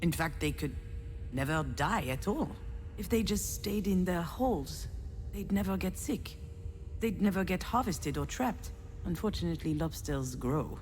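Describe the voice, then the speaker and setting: An actress playing a failed screenwriter, a cynical, melancholic loner, in a measured, confiding, wry game monologue. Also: female voice, fairly low pitch, expressive, a little mellow, slight background noise. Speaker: narrator protagonist of a visual novel. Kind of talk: introspective scripted monologue.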